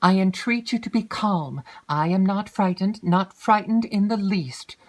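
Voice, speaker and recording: A woman reading a gentle young heroine. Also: mid-range voice, measured pace, close to an everyday voice. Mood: earnest. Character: young gentlewoman, trembling but trying to stay calm.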